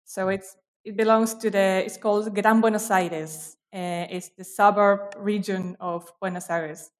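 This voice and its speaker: A woman's voice: medium pitch, fairly even intonation, a little slow. A woman from Argentina, a guest in a casual interview over a video call, talking with frequent fillers and restarts. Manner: hesitant, many fillers and restarts.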